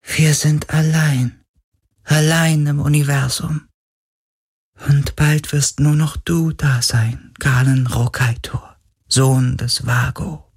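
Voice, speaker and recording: A man voicing an ancient, sorrowful sea spirit, a glowing sphere of slime, in a slow, high, airy whisper for an audiobook. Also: steady tone, darkish tone, breathy edge, no room sound, studio quality, exaggerated voice. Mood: grieving. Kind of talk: audiobook character voice.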